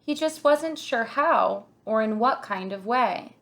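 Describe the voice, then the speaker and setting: A woman reading a children's picture book aloud in an expressive, deliberate storytelling way, like a kindergarten teacher. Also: fairly high pitch.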